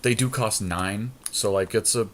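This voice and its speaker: Male podcast co-host, lowish voice, informal thinking-aloud commentary during a card game draft. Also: normal speed.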